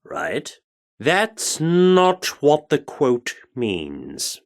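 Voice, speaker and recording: Game role: Shakespeare-mad theatre director, grandiose and earnest, swooping intonation, fairly high male voice. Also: wildly swooping pitch, very slow, exaggerated voice. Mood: indignant, suspicious. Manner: theatrical, grandiloquent, archaic turns of phrase. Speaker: voice actor as game character. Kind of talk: theatrical video game character dialogue.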